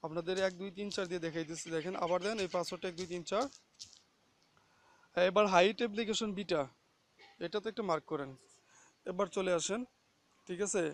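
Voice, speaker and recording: High-pitched man giving a tutorial. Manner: instructional, often checking with "okay?". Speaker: tutorial host. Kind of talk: casual step-by-step phone app screen tutorial.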